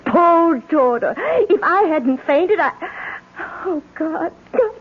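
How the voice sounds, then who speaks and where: An actress playing a distraught, frightened young wife in a radio drama, halting and breathless as she repeats herself. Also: extremely high pitch, animated, full character voice. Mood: hysterical terror. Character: distraught, terrified young wife haunted by a nightmare. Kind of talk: old-time radio drama acting. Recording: narrow-band old radio broadcast.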